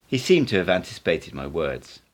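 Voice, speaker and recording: A man, an amateur reader, narrating an audiobook in an expressive storytelling style. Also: sits low, brisk.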